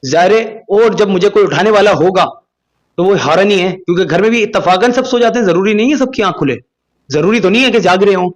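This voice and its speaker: A man's voice: high-pitched, brisk. A young man hosting a reaction video, scolding and indignant as he rebuts a clip. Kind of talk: critical reaction commentary.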